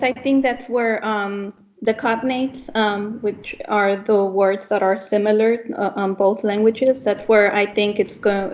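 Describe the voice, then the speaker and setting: A young woman whose first language is Spanish, talking in a casual class discussion, halting often with ums and restarts. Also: mid-range voice, a little mellow, a little hiss or hum, low-quality recording. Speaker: student teacher in training.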